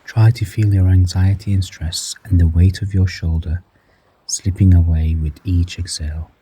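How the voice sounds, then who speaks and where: A man guiding a breathing meditation in a slow, soft-spoken manner, counting the breaths aloud and dropping some counts to a whisper. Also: low-pitched, level tone, breathy edge, low-quality recording. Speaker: meditation guide.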